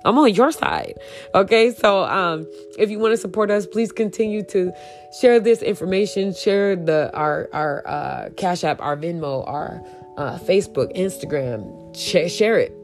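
A woman podcast host with a raspy voice, talking casually and emphatically, repeating key points. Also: on the low side, lively intonation, measured pace, soft background music. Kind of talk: casual solo podcast talk.

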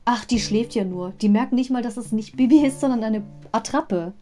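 A woman's voice: fairly high pitch, a little fast, mellow. A woman hosting a podcast, casually retelling a story with chatty, digressive commentary. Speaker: podcast host.

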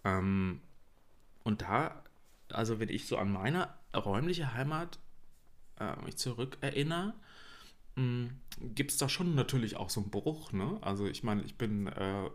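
A man in his thirties from East Berlin, co-hosting a casual podcast chat and pausing often on fillers. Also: medium pitch, lively intonation. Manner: hesitant, many fillers. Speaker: podcast co-host.

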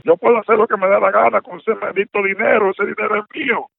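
A man's voice: high voice, fairly even intonation, quick pace, vocal fry, slightly rough, no echo. An older man with a Dominican Spanish accent, defensive and indignant, repeating himself in an agitated conversation over a phone line. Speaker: prank call target. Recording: tinny narrowband phone line.

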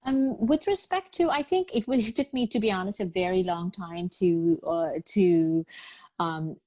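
A woman's voice: medium pitch, a little slow, darkish tone, dry recording. A middle-aged woman from Bangladesh, raised in the UAE, answering questions as a podcast guest. She speaks thoughtfully, with many fillers and repeated words. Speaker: guest, business professional. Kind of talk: podcast interview.

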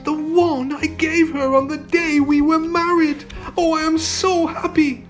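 A man's voice: very high voice, flat delivery, unhurried, faint music behind, pitched above the performer's own voice. A man voicing a devoted, emotional prince in a children's storybook, with dramatic exclamations. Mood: amazed, excited. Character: devoted young prince husband, earnest and emotional.

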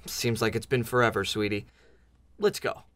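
A woman's voice: a bass voice, animated, normal speed, noisy background. A woman playing a wry, conniving, exasperated character with a dry sarcastic manner in a comedic audio drama.

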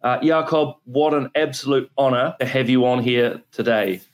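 A man's voice: mid-range voice, normal speed. A man with a New Zealand accent hosting an interview, introducing his guest with effusive enthusiasm. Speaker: podcast host.

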